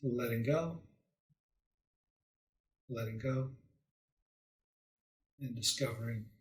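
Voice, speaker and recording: A man leading a guided meditation as a teacher, calm and soothing, leaving long pauses between short phrases. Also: medium pitch. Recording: roomy echo, distant microphone.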